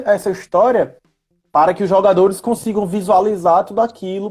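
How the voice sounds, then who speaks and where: A man's voice: high-pitched, a little mellow. Brazilian man explaining casually, Ceará accent. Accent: Northeastern Brazilian, Ceará. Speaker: educator, guest on a live chat.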